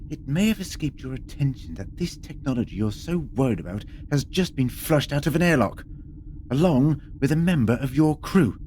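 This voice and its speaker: A man's voice: mid-range voice, animated, moderate pace, darkish tone, close to an everyday voice. Guy playing a witty scornful time traveller in a space radio play, mid voice, noise behind him. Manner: sardonic, lecturing. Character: sardonic, quick-witted male time-travelling alien adventurer. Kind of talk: audio drama acting.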